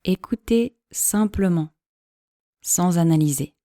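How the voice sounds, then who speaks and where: A woman teaching yoga nidra, giving calm, measured guided-meditation instructions in a soothing way with long pauses. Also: on the low side, dry recording, clean studio sound.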